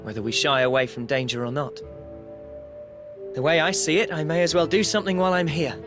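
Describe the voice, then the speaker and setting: An actor playing an easygoing but determined young wanderer in a video game, casual, friendly and earnest. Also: male voice, fairly high pitch, a little fast, natural voice. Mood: earnest, resolute. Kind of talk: video game cutscene acting. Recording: music behind the voice.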